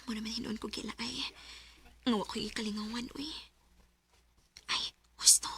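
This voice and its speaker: An actress playing a lovesick young female student in a radio drama, often musing in hushed whispers. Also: fairly high pitch, little pitch movement, normal speed. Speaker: lead character and narrator. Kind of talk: Visayan radio drama acting.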